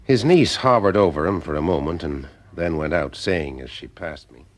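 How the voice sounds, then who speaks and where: An actor playing a wry, observant private eye in a radio mystery, with a husky voice and an informal way of talking. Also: male voice, sits low, moderate pace. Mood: wry, narrating. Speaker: lead actor and narrator in a detective audio drama. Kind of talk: first-person detective narration and dialogue.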